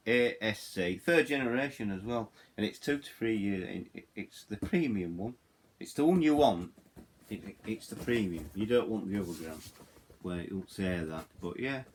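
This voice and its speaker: A middle-aged or older man with a Yorkshire accent gives a casual, unscripted product review, haltingly, often repeating words and phrases. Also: low-pitched, slight background noise. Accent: Yorkshire English. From Yorkshire, England. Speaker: hobbyist video host reviewing radios.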